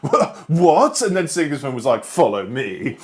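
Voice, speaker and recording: A man, co-hosting a casual podcast chat about books, enthusiastic and playful. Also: moderate pace. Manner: enthusiastic, lively swings of pitch, playful. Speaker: podcast co-host.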